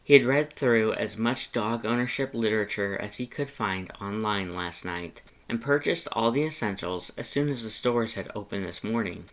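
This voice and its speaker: A man's voice: on the low side, normal speed, hardly any pauses, a bit bright, rough recording. A man reading scripted story narration, as the narrator of a piece of fiction.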